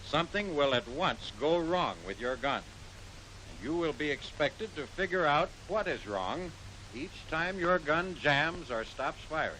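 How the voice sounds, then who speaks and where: Lively American man narrating 1940s training film. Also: a little slow, low-quality recording. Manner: instructive, addressing the trainee directly. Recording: hissy 1940s film soundtrack, rumbling noise.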